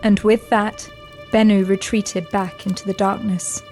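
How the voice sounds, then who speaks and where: Woman narrator, even reading, music bed behind. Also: medium pitch, measured pace. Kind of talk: scripted narration.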